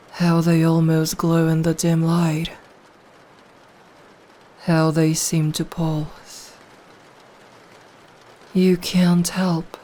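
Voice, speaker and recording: A woman playing a seductive, possessive female vampire in an intimate ASMR roleplay, speaking softly and breathily in a hushed, teasing, coaxing way. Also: deep voice, heavily acted.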